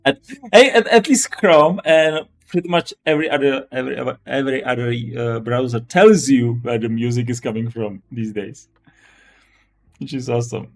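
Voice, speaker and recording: A man's voice: mid-range voice, normal speed. A man with a Czech accent chatting casually as a live-stream host, often restarting and repeating his phrases. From Czech Republic.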